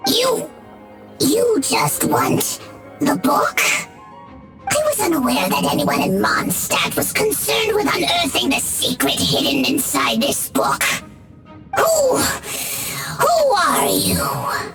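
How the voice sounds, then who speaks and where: A woman's voice: very high-pitched, wildly swooping pitch, slow pace, exaggerated voice. A woman voicing a small, haughty but cowardly monster mage in a video game, theatrical, heard at a distance under music. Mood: startled, wary. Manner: theatrical, stammering when frightened.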